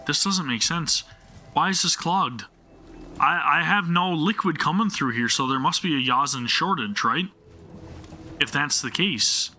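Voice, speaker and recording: A man's voice: fairly high pitch, expressive, a little slow, bright, forceful tone, slight background noise. A man live-streaming a game, talking to viewers and thinking aloud in a casual way, often questioning himself.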